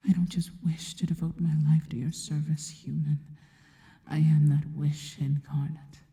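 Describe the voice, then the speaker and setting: A woman's voice: low voice, flat delivery. Woman acting a whispering, devoted spider monster girl. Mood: adoring, devoted. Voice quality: soft, breathy whisper. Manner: slow, deliberate, reverent. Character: devoted, worshipful female spider monster girl, adoring and possessive. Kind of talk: whispered intimate ASMR roleplay.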